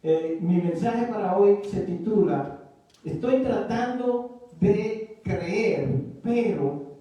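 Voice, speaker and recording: A man interprets a sermon in short phrases, often stumbling over fillers and restarts. His voice sits high but sounds mellow and dark. He is recorded from a distance in a very echoey church hall. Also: lively intonation, slow. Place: church sanctuary.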